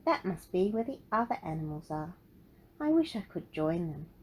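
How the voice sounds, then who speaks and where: A woman's voice: on the low side, sing-song, moderate pace, a little mellow, slight background noise. A woman voicing a lonely, hopeful little male tortoise in a storybook. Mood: wistful, hopeful.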